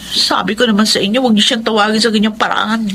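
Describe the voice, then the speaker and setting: An actress playing a defiant, hurt college student in a Filipino melodrama, pleading and protesting earnestly with a Filipino accent. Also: female voice, medium pitch, fairly even intonation, fast talker, fairly bright tone, slight background noise, natural voice. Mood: indignant. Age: young adult. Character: defiant, hurt college-age child of quarrelling parents. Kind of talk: melodramatic radio drama acting.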